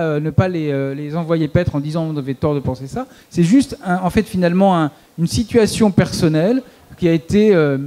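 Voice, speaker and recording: A man speaking on a conference panel, rapid and stumbling over repeated words. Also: high voice, mellow.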